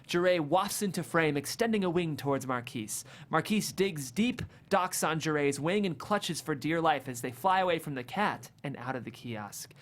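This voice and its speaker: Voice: medium pitch, expressive, moderate pace, hardly any pauses. A narrator reading comedic screenplay stage directions aloud at a table read in a vivid, amused way.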